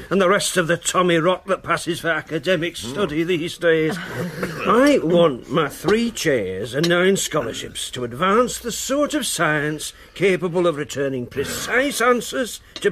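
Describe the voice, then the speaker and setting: Blustering wealthy lord, scornful, slightly high, faint hiss. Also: male voice, lively intonation, a little slow, fairly bright tone. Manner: dismissive, pompous. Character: Lord Goldhooper, blustering rich male benefactor. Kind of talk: BBC radio drama.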